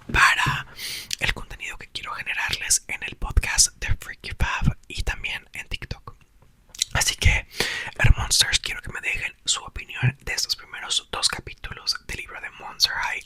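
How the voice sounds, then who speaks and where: A young man, host of his channel, whispering a book aloud and chatting softly to viewers. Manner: soft, whispered throughout. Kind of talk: ASMR reading and chat.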